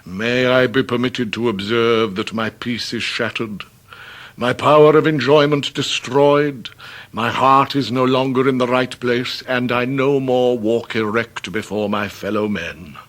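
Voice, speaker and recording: An actor playing a pompous, theatrically downcast Victorian English debtor gentleman, grandiloquent and long-winded in a period radio drama. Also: medium pitch, fairly even intonation, measured pace, close to an everyday voice. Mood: mournful, grandiose. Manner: grandiloquent, orotund, ornate long-winded phrasing.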